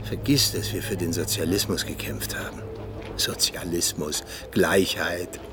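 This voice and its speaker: An actor playing a cynical, disillusioned Soviet agent and former mentor in a radio drama, confessing in weighty, deliberate phrases. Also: male voice, sits low, over music. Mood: bitter, cynical. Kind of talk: German radio drama acting.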